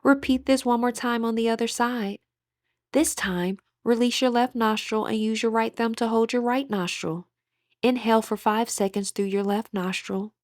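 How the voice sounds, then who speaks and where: Female meditation guide, fairly high voice, gentle breathy delivery with measured pauses, dry recording. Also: steady tone, no room sound. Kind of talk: guided meditation, scripted narration.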